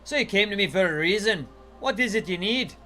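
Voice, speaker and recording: Shady informant with rough dialect, evasive and gruff, read aloud by a male streamer. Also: high-pitched, expressive, brisk, sharp tone, faint music behind. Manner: rough dialect, drops 'my' for 'me'. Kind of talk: reading game quest text aloud in character.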